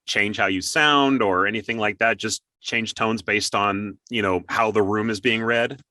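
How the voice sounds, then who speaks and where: A man's voice: on the low side, steady tone, normal speed, sharp tone, no echo, clean studio sound. A man hosting a casual interview in an enthusiastic, encouraging way. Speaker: interview host.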